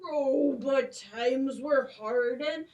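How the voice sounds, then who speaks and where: Librarian lady reading a fairy tale to kids, high lilting voice, slow. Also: a little mellow, distant mic, dull audio. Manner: slow, sing-song storyteller. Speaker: children's librarian. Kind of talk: storybook narration for children.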